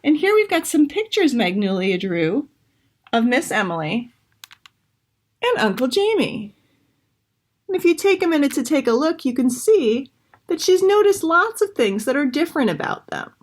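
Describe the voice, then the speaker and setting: A woman's voice: high-pitched, sing-song, normal speed. A woman reading a children's picture book aloud expressively, with explanatory asides to young listeners.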